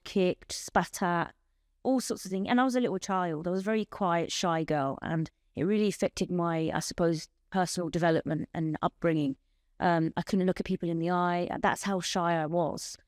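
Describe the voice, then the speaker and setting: A woman in her thirties, born in Bangladesh with Bengali as her first language, speaking as a podcast guest in an earnest, measured way and telling her story in long lists. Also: on the low side, smooth, no echo, studio quality. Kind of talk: personal testimony in an interview.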